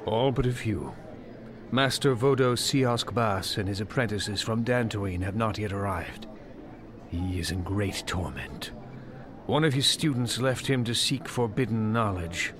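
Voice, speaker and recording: An actor playing a wise, grave old Jedi master in an audio drama, speaking in a measured, mentoring way. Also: male voice, fairly low pitch, a crowd in the background, close to an everyday voice. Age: older.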